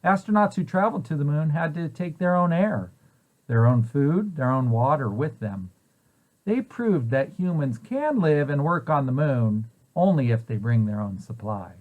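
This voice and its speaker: A man reads a picture book aloud for young children in a lively, swooping voice that sits a little high. His delivery is that of a storyteller, setting out each question and then its answer clearly. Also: normal speed, phone-quality sound. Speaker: preschool site director reading aloud.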